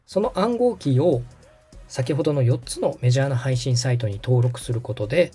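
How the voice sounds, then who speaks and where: Lively, mellow-voiced man giving instructions. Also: medium pitch, normal speed, breathy edge, soft background music. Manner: polite, methodical explainer. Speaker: podcast host and radio director giving step-by-step guidance. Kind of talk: instructional walkthrough in dialogue.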